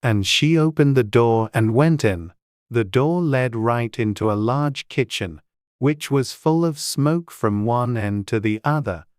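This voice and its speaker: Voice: mid-range voice, a little slow, a little mellow, no room sound. Dry, close storybook narrator. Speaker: audiobook narrator.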